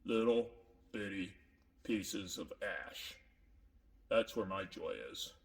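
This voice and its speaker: A man voicing a sad, subdued puppet who speaks in a glum, halting way and trails off. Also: fairly low pitch, fairly even intonation, far from the mic, voice pushed down. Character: sad, subdued forest-fire survivor puppet named Mike. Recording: echoey hall with long reverb.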